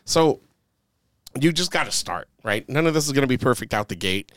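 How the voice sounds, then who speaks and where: A man's voice: medium pitch, animated, brisk, a bit bright, dry recording. A man co-hosts a podcast, handing out advice in a loose, rambling way. He leans on fillers and sports comparisons. His voice sits mid-range and the sound is close and dry. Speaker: podcast co-host.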